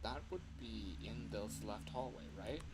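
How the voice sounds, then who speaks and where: A man streaming a game with casual live commentary, muttering halting reactions as he plays. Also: on the low side. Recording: clear background game noise.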